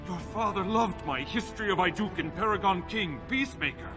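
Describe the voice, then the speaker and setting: An actor playing a principled, stubborn but humble historian in a fantasy game, speaking formally and earnestly. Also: male voice, high-pitched, animated, a little fast. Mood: pleading. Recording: music behind the voice.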